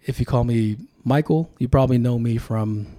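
Mellow-voiced man telling stories. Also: medium pitch, moderate pace. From Sacramento, California. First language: Tongan. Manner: reflective, storytelling. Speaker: podcast guest, political candidate. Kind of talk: casual podcast conversation.

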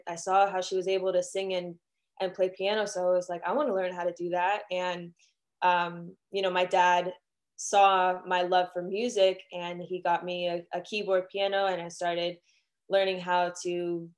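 A young woman from Miami, a singer-songwriter, chatting casually as a guest with lots of "um" and "you know". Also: medium pitch. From Miami, Florida. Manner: chatty, many fillers and restarts. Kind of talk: casual interview answers.

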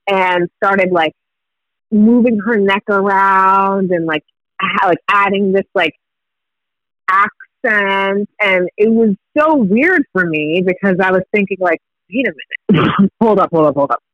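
A man's voice: high voice, unhurried, dry recording, dull audio. A Kenyan-born man whose first language is Swahili, telling a story in casual chat with laughter.

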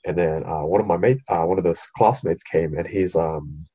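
Podcast co-host: a young Australian man with an Australian accent, husky and laid-back. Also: deep voice, moderate pace, mellow, highs cut off. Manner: laid-back, swears casually, hesitant with many ums. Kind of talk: casual chat.

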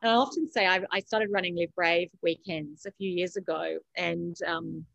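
A woman's voice: fairly low pitch, steady tone, moderate pace, creaky, fairly bright tone, no room sound, dull audio. A woman with an Australian accent giving emphatic, encouraging advice as a guest in a motivational interview, often repeating phrases for effect. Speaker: podcast guest, author and coach.

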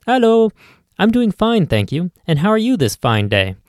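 A man's voice: sits high, very animated, moderate pace, darkish tone, dry recording, studio quality, voice pushed up. A man doing a chirpy, polite young woman shopper. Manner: chirpy, well-mannered.

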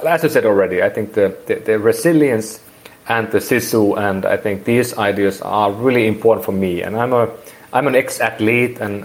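A man in his forties from Finland with a Finnish accent, speaking English as a second language and rambling through an interview with many restarts. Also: fairly low pitch. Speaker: guest. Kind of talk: casual interview.

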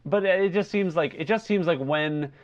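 A man co-hosting a casual discussion podcast, speaking in a tentative, measured and questioning way. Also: high voice, dull audio. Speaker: podcast co-host.

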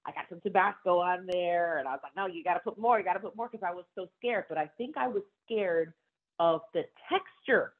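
A woman's voice: fairly low pitch, brisk. A woman talks casually and with enthusiasm, laughing now and then. Her voice comes through thin and tinny, like it's heard over a phone. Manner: enthusiastic, frequent laughs and asides. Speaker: wine bar owner. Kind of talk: casual conversation.

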